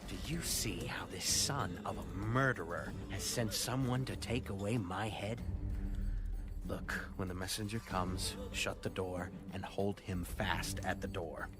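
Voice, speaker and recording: An actor playing a wary, stern prophet in an audio Bible drama, speaking in a hushed, urgent way. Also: male voice, sits low, unhurried, over loud music. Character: wary, stern Hebrew prophet warning his elders.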